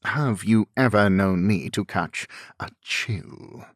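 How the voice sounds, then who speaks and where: Proud period gentleman, read by a man: lowish voice, measured, scornful, imperious. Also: expressive, vocal fry, no echo, clean studio sound, heavily acted. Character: haughty, scornful, curt wealthy gentleman.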